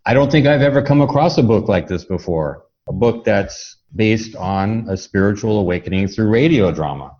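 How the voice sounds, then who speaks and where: A man's voice: lowish voice, expressive, moderate pace, few pauses, darkish tone, lo-fi sound. A man hosting a podcast, introducing the show and talking conversationally with a guest. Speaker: podcast host.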